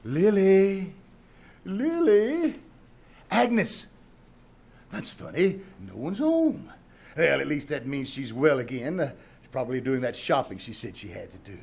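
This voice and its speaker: A man's voice: on the high side, big pitch swings, a little slow, vocal fry, long pauses, a little mellow, breathy edge, full character voice. A man playing an anxious, melodramatic husband in an old-time radio comedy, theatrical and exclamatory. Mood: relieved, muttering. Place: small-town theater stage, live performance. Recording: live stage recording with noise.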